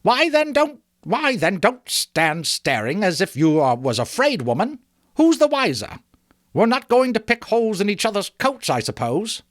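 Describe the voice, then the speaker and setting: A man's voice: sits high, big pitch swings, moderate pace, dry recording, clean studio sound, pitched above the performer's own voice. A man voicing a bold, defiant charwoman and thief in a storybook reading, with a brash, challenging manner. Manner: brash, loud, challenging exclamations. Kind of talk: dramatic audiobook character voice.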